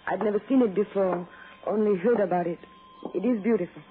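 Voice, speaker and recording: Actress doing fragile grieving lady in an old radio play, soft-mannered, halting, narrow muffled recording. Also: mid-range voice, background noise, close to an everyday voice. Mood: subdued, hesitant. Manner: hesitant, halting, polite. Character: gentle, fragile grieving woman, a sketch artist. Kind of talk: 1960s radio drama acting. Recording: muffled vintage radio recording, faint music.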